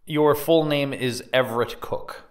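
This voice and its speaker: A man's voice: mid-range voice, steady tone, far from the mic, natural voice. A man playing a formal, probing 1890s prosecuting lawyer questioning witnesses in a dramatic trial reading. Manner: formal, inquisitive, measured.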